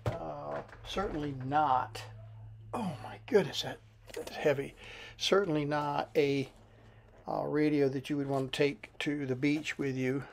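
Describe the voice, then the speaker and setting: A man's voice: on the high side, fairly even intonation, a little slow, gravelly, a little hiss or hum. A middle-aged American man hosting a casual hands-on repair demonstration, hesitant and thinking aloud as he works. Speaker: host of a vintage radio repair channel. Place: indoor workshop with electronics benches.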